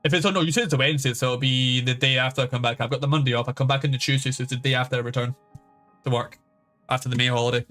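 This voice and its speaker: A man's voice: medium pitch, fairly even intonation, rapid-fire, a bit bright. Sweary, rambling man with music behind. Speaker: game streamer. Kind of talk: casual stream chat. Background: background game music.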